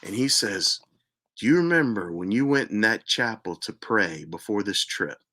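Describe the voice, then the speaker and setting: A man earnestly telling a personal spiritual story as host, repeating himself for emphasis and sometimes dropping to a hushed voice. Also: lowish voice, lively intonation, normal speed. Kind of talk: personal testimony monologue.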